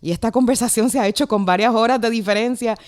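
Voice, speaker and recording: A woman's voice: on the high side, quick pace, a little mellow, no room sound. Puerto rican lady hosting her podcast, chatty and friendly, dry close sound. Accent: Puerto Rican Spanish. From Puerto Rico. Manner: friendly, chatty, laughs easily. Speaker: podcast host. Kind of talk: casual interview chat. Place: at home at night.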